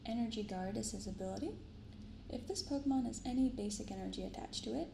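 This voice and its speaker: A soft-spoken woman hosting an ASMR unboxing, reading and commenting gently and without hurry. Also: mid-range voice, creaky, roomy sound, background noise. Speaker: card unboxing video host. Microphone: binaural microphone close up.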